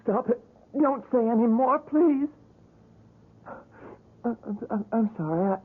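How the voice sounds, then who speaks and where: An actress playing a polite, grieved woman visitor who speaks haltingly and breathlessly in an old-time radio drama. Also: on the high side, animated, faint music behind. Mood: upset, polite. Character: distressed, polite female visitor, grieved and hesitant. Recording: tinny old radio broadcast.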